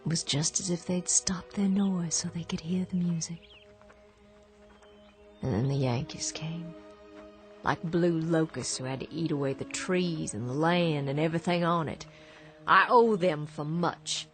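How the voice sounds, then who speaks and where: An actress playing a lonely, grieving war widow, speaking quietly. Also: female voice, deep voice, measured pace, faint music behind. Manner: quiet, grieving, turns hushed and vengeful. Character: lonely, bitter war widow on a ruined farm. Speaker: actress in a radio drama. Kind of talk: radio drama acting.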